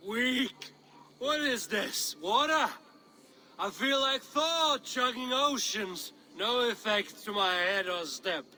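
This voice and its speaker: A woman's voice: on the high side, expressive, slow, bright, forceful tone, exaggerated voice. A woman playing a bold, hard-drinking Viking warrior in a game cutscene, blunt and boastful. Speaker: lead character. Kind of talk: video game cutscene acting.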